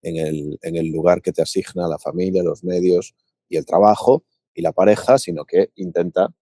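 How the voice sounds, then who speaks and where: A man from Paraguay co-hosting a podcast, thinking aloud in a relaxed, reflective chat with frequent restarts. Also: deep voice, moderate pace, no room sound. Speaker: podcast co-host. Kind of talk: casual reflective conversation.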